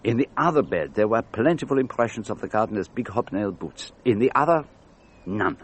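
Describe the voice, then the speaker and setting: An actor playing a brilliant, self-assured detective in a radio drama, precise and theatrical. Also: male voice, fairly low pitch, lively intonation, a little fast, slight background noise, close to an everyday voice. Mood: probing, assured. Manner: precise, theatrical, gently didactic.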